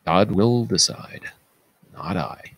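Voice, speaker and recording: A man playing a stern, measured new ruler, speaking in firm, clipped commands in a reading of Greek tragedy. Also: low-pitched, fairly bright tone, dry recording, heavily acted. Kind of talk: read-aloud Greek tragedy.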